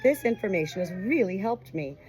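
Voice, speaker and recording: A woman's voice: mid-range voice, animated, fairly quick, a little mellow, a little hiss or hum, natural voice. A woman voicing an open, tender young mother who is dying, in a heartfelt storybook reading. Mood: earnest, upbeat.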